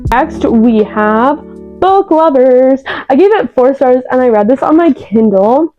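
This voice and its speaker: A woman's voice: sits high, expressive, measured pace, muffled recording, soft background music. A young woman reviewing books in a casual, gushing vlog, often repeating words for emphasis, talking into a new microphone. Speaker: book vlogger. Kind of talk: casual chatty book review. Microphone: new external microphone.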